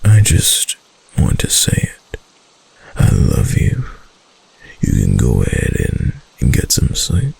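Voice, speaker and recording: A man playing a possessive, adoring kitsune husband in an intimate ASMR roleplay, murmuring softly in a deep, husky voice that often drops to a whisper. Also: huge pitch swings, heavily acted. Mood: adoring, soothing. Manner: slow, soft, adoring murmur with lingering pauses.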